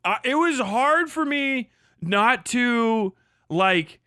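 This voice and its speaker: A man's voice: extremely high pitch, animated, pushed and bright, dry recording, clean studio sound. A man hosting a casual livestream, commenting in a profane, joking way and often stumbling over repeated words. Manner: profane, joking, halting and repetitive in delivery.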